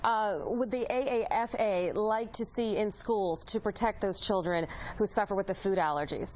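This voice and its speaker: A woman's voice: medium pitch, moderate pace. A woman hosting a broadcast-style interview, introducing the subject and putting questions to a guest. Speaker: interview host. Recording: dull lo-fi audio, clearly noisy.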